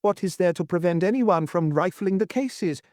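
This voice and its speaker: A man's voice: high-pitched, expressive, normal speed, a little mellow, dry recording, studio quality, voice pushed up. A man voicing an old, suspicious nobleman collector who nags and snarls in a cold, mocking way. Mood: irritable. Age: older. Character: old nagging suspicious male lord collector. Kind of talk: audiobook reading.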